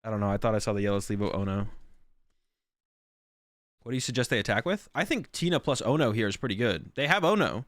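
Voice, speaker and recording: A man's voice: on the low side, animated, quick pace, pauses often, fairly bright tone, dry recording, studio quality. A man who is sick and losing his voice, rambling through casual stream commentary with lots of fillers. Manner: rambling, filler-heavy, thinks aloud.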